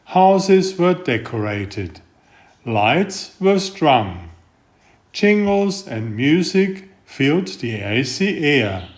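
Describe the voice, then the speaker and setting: Guy reading a kids picture book super slow, voice goes up and down a lot. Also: medium pitch, very animated. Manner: gentle, unhurried storytelling. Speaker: storybook reader. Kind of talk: slow read-aloud picture book narration.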